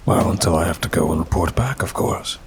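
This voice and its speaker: A man's voice: sits low, brisk, a little hiss or hum, natural voice. A man voicing a bored, condescending demon in an intimate ASMR roleplay, with a dry, languid, faintly mocking delivery. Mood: dry, matter-of-fact. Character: bored male demon with claws and fangs, wry, condescending.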